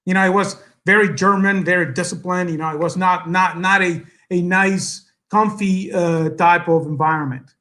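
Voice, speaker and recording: A middle-aged Salvadoran man with a fairly high voice gives an earnest speech at an event. He speaks English with a Spanish accent and stops often on fillers as he gathers his thoughts. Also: fairly even intonation, sharp tone. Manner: earnest, halting, many fillers, switches to Spanish. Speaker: guest speaker.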